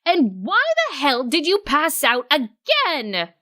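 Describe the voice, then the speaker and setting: A woman's voice: sits high, big pitch swings, takes it slowly, bright, forceful tone, voice pushed up, full character voice. A woman voicing a scolding, fussing young female pony who plays music and talks in an exasperated, insistent way. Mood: angry worry.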